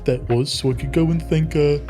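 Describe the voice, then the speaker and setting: A man's voice: a little high, steady tone, brisk, mellow, faint music behind. A man playing a tabletop game, improvising politely in character with a hesitant, slightly formal manner.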